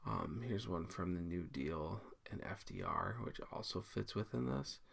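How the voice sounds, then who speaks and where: A man's voice: low voice, expressive, unhurried, creaky, fairly bright tone, slightly rough. A man giving a casual screencast tutorial, explaining each step informally and thinking aloud as he works. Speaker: teacher giving a software tutorial. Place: indoors at a computer, screen recording.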